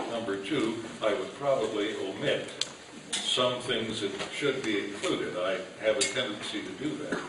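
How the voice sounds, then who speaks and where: Man emceeing in a very echoey hall. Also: medium pitch. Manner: self-deprecating, joking. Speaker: master of ceremonies introducing a cast. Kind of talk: informal, unscripted stage introductions. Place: live on stage at an old-time radio event. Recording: very echoey, noisy hall, distant mic.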